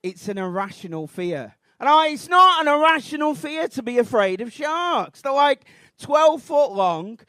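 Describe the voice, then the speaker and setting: A Mancunian man in his thirties doing a rambling, self-deprecating stand-up routine on a comedy club stage, bursting into shouted outrage. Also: extremely high pitch, big pitch swings, unhurried, hardly any pauses, dry recording. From Manchester, England.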